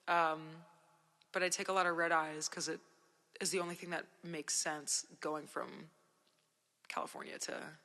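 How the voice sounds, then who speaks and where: A young woman from New Jersey, an independent musician, chatting casually with viewers on a livestream, her voice worn and hoarse from a recent cold, talking in a relaxed, rambling way full of fillers. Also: low voice, long reverb tail. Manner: laid-back, rambling, many "like" and "um" fillers.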